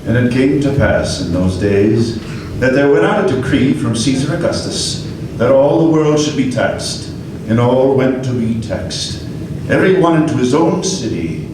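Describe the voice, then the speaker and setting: A man reading a scripture passage in a measured, reverent way for a live radio play. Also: medium pitch, big pitch swings. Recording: echoey room from far off, noisy.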